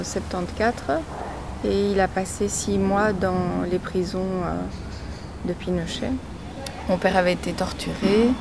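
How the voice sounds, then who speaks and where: A woman in her forties with a Belgian French accent, giving personal testimony in an interview in a measured, hesitant way with pauses. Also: fairly low pitch, noisy background. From born in Chile, grew up in Belgium from age four. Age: 40s. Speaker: interviewee telling her family's migration story.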